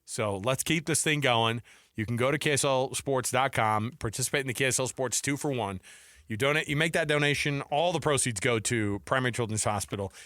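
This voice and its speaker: A man's voice: mid-range voice, brisk, a bit bright, dry recording, clean studio sound. A man hosting a sports talk radio show, chatting casually and riffing jokingly in a rambling way.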